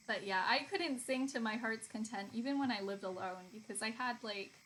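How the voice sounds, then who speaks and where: A woman's voice: a little high, fairly quick, fairly bright tone, poor audio. A woman live streaming art, chatting casually and informally with viewers and reading their comments aloud. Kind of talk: casual chat with stream viewers while drawing. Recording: clear background noise.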